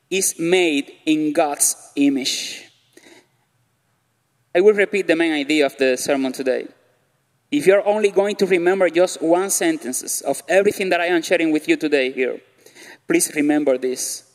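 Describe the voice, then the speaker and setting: Cuban-accented man preaching slowly. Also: fairly high pitch. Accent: Cuban Spanish. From Cuba. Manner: slow, careful delivery with gestures. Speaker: guest preacher. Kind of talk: sermon. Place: church stage, large hall. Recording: long reverb of a church hall.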